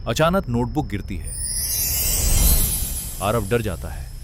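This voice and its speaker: A man's voice: medium pitch, very animated, slow, loud music behind the voice. A man narrating a scripted cartoon story as a storyteller.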